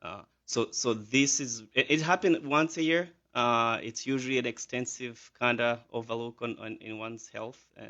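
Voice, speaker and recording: A man's voice: medium pitch, fairly even intonation, normal speed, few pauses, bright, forceful tone. A man in his thirties from Rwanda with a Rwandan accent, a physician answering radio interview questions with many fillers and restarts. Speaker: physician guest.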